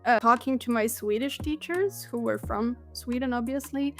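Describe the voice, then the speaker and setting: Young woman language teacher chatting, lively n giggly, highish voice, bit of music under it. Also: normal speed. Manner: lively explainer, laughs while telling anecdotes. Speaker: Swedish teacher, guest. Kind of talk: casual podcast interview.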